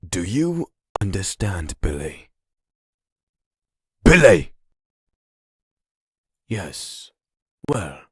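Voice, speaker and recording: A man playing a formal, patronising institute doctor in a comic dub, speaking in a measured, husky voice. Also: sits low, no echo, clean studio sound, full character voice. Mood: businesslike. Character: formal, smooth-talking, satisfied male institute doctor.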